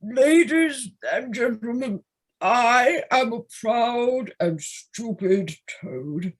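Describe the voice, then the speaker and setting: A woman playing a proud, foolish male toad in an audio play, grandiose and melodramatic, with a husky voice. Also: a little high, animated, drawn-out words, heavily acted. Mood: grandiose, repentant.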